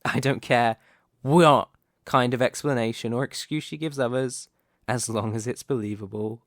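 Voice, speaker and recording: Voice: mid-range voice, fairly even intonation, no room sound, studio quality, lightly acted. A performer playing a smug, possessive crime boss in an audio roleplay, speaking with mocking, theatrical menace. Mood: commanding. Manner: mocking, theatrical, slow deliberate menace with sudden swells. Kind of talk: ASMR audio roleplay, dramatic monologue.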